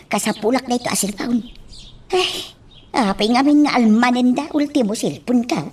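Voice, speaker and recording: An actress playing a flustered, scheming household helper in a radio drama, muttering to herself and stammering with exclamations. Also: female voice, a little high, moderate pace, darkish tone, recorded from a distance, slight background noise, close to an everyday voice. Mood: anxious muttering. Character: flustered, scheming female household helper sneaking phone calls.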